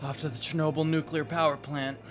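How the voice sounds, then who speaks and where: A man's voice: a little high, level tone, fairly quick. A man hosting a let's play, giving casual, laid-back, slangy reaction commentary on a video game. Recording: game music behind the voice.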